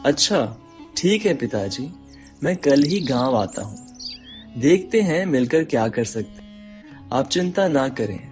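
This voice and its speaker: A man's voice: mid-range voice, very animated, a little slow, long pauses, a little mellow, faint music behind. A man playing a dutiful young student son in a cartoon moral story, speaking politely and respectfully. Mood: reassuring.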